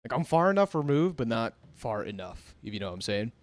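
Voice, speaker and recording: A man's voice: mid-range voice, sing-song, brisk, no room sound. An American man chatting casually on a live gaming stream, laid-back and laughing often as he reads chat. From United States. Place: home near a big city.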